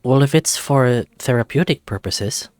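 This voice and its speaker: A man's voice: medium pitch, normal speed, darkish tone, no echo, lightly acted. A man voicing a bewildered, self-conscious young male wolf in a visual novel, hesitant and questioning. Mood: reluctant.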